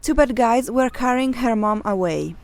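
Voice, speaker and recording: A woman's voice: a little high, animated, moderate pace, a little hiss or hum. A woman narrating a children's adventure story from a script.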